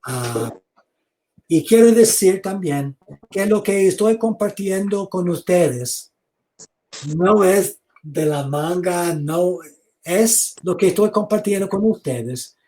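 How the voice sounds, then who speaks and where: A man giving an online theology lecture over a video call, speaking slowly, repeating words and inviting questions. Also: high voice, animated, dark tone. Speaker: seminary lecturer.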